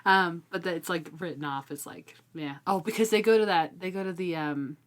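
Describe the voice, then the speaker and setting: A woman co-hosting a casual book discussion, retelling things in an animated way and acting out reactions. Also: lowish voice, moderate pace. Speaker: co-host of a book discussion show.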